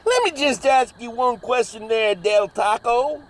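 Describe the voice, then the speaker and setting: A man's voice: extremely high pitch, a little slow, a bit bright. A man acting a cocky, folksy backwoods monster hunter in a comedy horror audio drama, rambling in a drawl with mangled grammar. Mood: needling.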